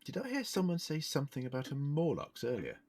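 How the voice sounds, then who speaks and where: A man's voice: a little high, huge pitch swings, fast, a little mellow, heavily acted. A man playing a curious, reassuring space-traveller in an audio drama, polite and a little hesitant. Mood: pondering.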